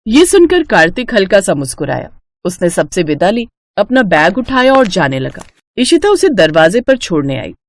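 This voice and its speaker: A woman's voice: medium pitch, sing-song, normal speed, no echo. A woman narrating a scripted moral story as a storyteller.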